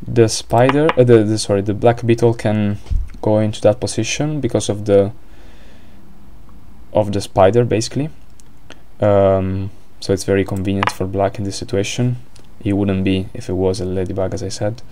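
Low-voiced Italian man, hesitant commentator. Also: fairly even intonation, moderate pace, dark tone. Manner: hesitant, many fillers and restarts. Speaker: game commentator. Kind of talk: live-streamed game commentary.

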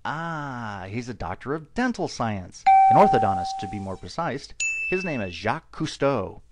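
A man's voice: medium pitch, big pitch swings, normal speed, heavy background noise. A man narrating a video voice-over with dry, mocking sarcasm.

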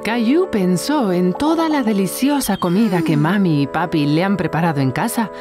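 Una mujer que narra una historia infantil con guion, como cuentacuentos. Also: female voice, on the low side, expressive, moderate pace, music in the background. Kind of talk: scripted children's storytelling narration.

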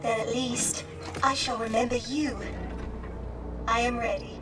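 A woman's voice: a little high, fairly even intonation, measured pace, distant mic, over loud music, lightly acted. An actress voicing a polite, dutiful, naive female droid servant in a video game cutscene, formal and courteous. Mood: devoted, resigned.

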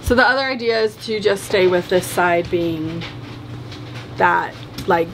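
A woman's voice: lowish voice, measured pace, noisy background. A woman in her thirties chatting casually indoors about house plans, laughing often, picked up by a nearby handheld camera. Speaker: wife discussing a renovation. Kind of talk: casual conversation. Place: indoors in a barn loft apartment.

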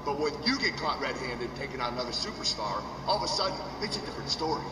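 A man's voice: medium pitch, sing-song, quick pace, far from the mic, close to an everyday voice. An actor voicing a boastful, defensive male wrestler who trash-talks in slang, heard as a noisy, echoey game recording. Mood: mocking.

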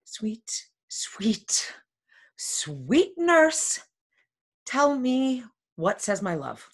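A woman's voice: mid-range voice, a little slow, a bit bright. A woman reading an impatient, lovesick young heroine in a Shakespeare play, with dramatic, insistent pleading. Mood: coaxing.

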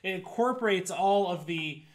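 Man, thirties, somewhat high-pitched, casual conversational reviewer, co-host, unscripted. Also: expressive, normal speed. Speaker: co-host of a movie review show. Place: indoor room, seated before a projection screen.

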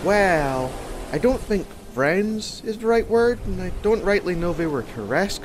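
A man's voice: high voice, big pitch swings, measured pace, music in the background. A man playing a small, sheepish young fantasy stowaway in an audio drama, hesitant and drawing out his words. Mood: evasive. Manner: hesitant, drawling out his account. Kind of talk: audio drama character acting.

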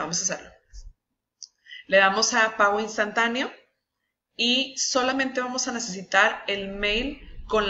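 A young woman with a Mexican Spanish accent guides viewers through an online tutorial at a patient, steady pace. Her voice has a bright, clear edge. The sound is slightly dull and lo-fi, like a built-in laptop mic. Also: mid-range voice. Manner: patient, step-by-step explaining with frequent checks like "¿sí?" and "¿ok?". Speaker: nutritionist walking team members through a website. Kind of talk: screen-recorded tutorial.

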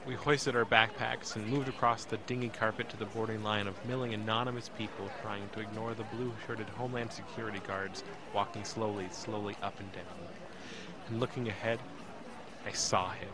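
Guy acting as scared young man telling his story in a radio play, halting n intense, kinda low voice, crowd noise behind. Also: natural voice. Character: frightened young man recounting a tense airport ordeal. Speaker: narrator of an audio drama. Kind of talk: first-person dramatic narration. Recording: busy airport crowd behind.

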